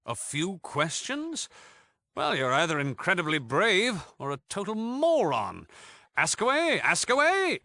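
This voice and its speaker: A man's voice: high voice, huge pitch swings, a little slow, bright, forceful tone, no room sound, full character voice. A man with a British accent playing a cocky, mock-polite thief gang leader in a video game, theatrical and sarcastic.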